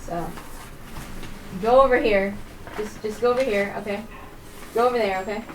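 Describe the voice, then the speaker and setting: A woman's voice: medium pitch, steady tone, moderate pace. A woman reading a story aloud on a stream, casual and a little self-conscious, recorded in a room. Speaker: reader and streamer. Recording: roomy, distant mic with faint noise.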